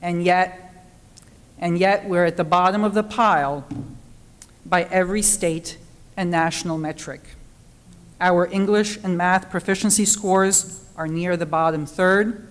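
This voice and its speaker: Middle-aged woman, candidate speech, steady and prepared, reverberant cafeteria. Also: fairly low pitch, a little slow, pauses often. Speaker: school board candidate. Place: school cafeteria. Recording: hall reverb.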